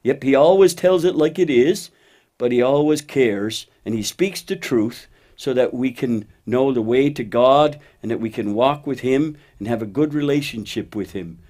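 A man voicing a steady, kindly cow who talks plainly and patiently, gently teaching, in a children's puppet show. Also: medium pitch, normal speed, lightly acted. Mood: gently instructive. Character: steady, faithful cow, a wise kindly friend.

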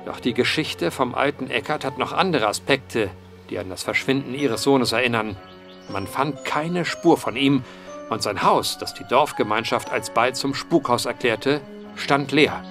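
A man's voice: medium pitch, lively intonation, measured pace, fairly bright tone, faint music behind. An actor playing an earnest male investigator in a period mystery radio play, speaking formally and eloquently like a storyteller. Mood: grave storytelling.

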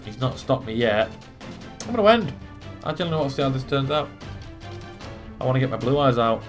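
A man hosts a casual gaming video, commentating on his own play. He swears freely and talks each move through as he goes. His voice is slightly low in pitch, and game music plays behind him. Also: very animated, fairly quick.

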